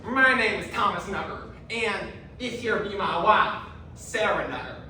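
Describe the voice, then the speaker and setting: An earnest Puritan husband acted by a woman, credulous and declamatory, with a bright, forceful edge and lively rise and fall. It sounds like stage drama recorded at a distance in an echoing hall. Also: mid-range voice, a little slow. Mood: earnest accusing. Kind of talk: theatrical stage acting. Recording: echoey hall from far off, faint noise.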